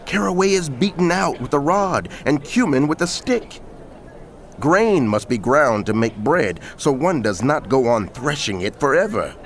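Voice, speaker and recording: A man's voice: mid-range voice, sing-song, a little slow. Guy narrating the bible dramatically, declaiming, lots of up and down, noisy ambient backdrop. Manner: declamatory, emphatic.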